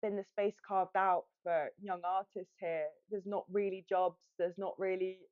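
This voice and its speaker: A woman's voice: mid-range voice, flat delivery, measured pace, dry recording, narrow, tinny audio. A young woman with a British accent, talking casually as a guest on a podcast. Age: young adult. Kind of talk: casual conversation. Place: podcast studio.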